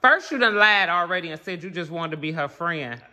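Bright-voiced, bossy middle-aged woman. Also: on the low side, expressive, a little fast. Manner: bossy, blunt, teasing, with colloquial slang. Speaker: vlog host and mother. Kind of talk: casual family vlog chat. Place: indoors at a family home.

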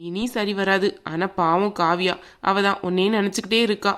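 A woman playing a gentle grandmother in a family drama, speaking in a soothing, colloquial, conversational way. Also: medium pitch, fairly even intonation, normal speed, close to an everyday voice. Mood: confiding, placating. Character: gentle, peacemaking grandmother, mother of a grown daughter.

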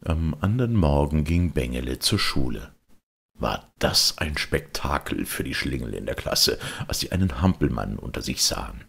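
A man's voice: extremely low pitch, sing-song, normal speed, creaky, fairly bright tone, breathy edge. A man reading scripted storybook narration as an audiobook narrator.

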